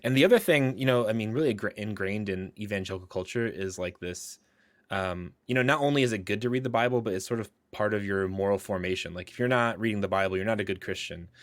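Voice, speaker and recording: A man's voice: lowish voice, quick pace, no echo. A man co-hosting a podcast, chatting casually in an informal, wry, rambling way. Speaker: podcast co-host. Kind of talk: casual conversational interviewing.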